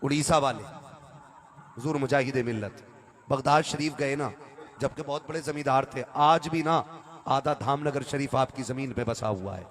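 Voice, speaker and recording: A man's voice: mid-range voice, moderate pace. A man delivers a conversational religious sermon to a live gathering, urging listeners to respond and at times reciting verse. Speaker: Islamic preacher.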